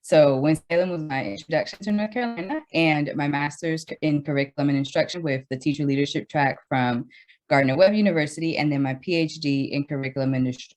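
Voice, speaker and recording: A woman in her thirties, an educator from the Dominican Republic raised in Brooklyn, talks through her story in a radio interview with a lot of fillers, sometimes speeding up and sometimes slowing down. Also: sits low, a little mellow. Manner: conversational storytelling with many fillers and restarts, speeds up and slows down. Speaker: educator, guest.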